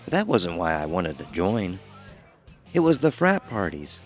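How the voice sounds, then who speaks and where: An actor playing a young college pledge, narrating a first-person horror story in a wry, conversational way. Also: male voice, deep voice, sing-song, measured pace, loud music behind the voice. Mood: wry, reminiscing. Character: young male college pledge recounting his hazing.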